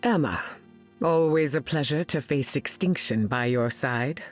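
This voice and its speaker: Regal weather goddess for a game: solemn, measured, foreboding. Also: female voice, a bass voice, faint music behind.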